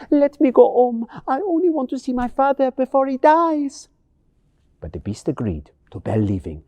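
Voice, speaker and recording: A man narrating a scripted bedtime story in a theatrical, expressive pantomime manner. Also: medium pitch, slightly rough, dry recording.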